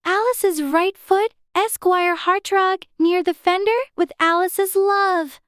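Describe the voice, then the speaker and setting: A woman's voice: fairly even intonation, slow, fairly bright tone, no room sound, exaggerated voice. A woman voicing a curious little girl who chatters and exclaims to herself in a dramatized storybook audiobook. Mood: fretful musing. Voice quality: childlike, very high voice. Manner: talks to herself, musing and exclaiming.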